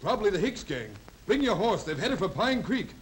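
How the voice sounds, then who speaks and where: High-voiced commanding old-time Western sheriff. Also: male voice, sing-song, a little fast. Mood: urgent. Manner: urgent, folksy, commanding. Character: commanding Western sheriff rallying his posse. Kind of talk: 1930s radio Western acting. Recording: noisy 1930s film soundtrack.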